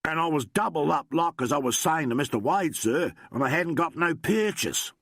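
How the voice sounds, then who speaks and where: A man playing a rough, grumbling country constable from a storybook, mangling his grammar with every sulky complaint. His voice swings up and down with lively pitch. It sits close and dry, with no room sound at all. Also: moderate pace, dry recording, exaggerated voice. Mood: aggrieved. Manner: rough ungrammatical slangy speech, morose. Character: gruff rough-spoken male country constable.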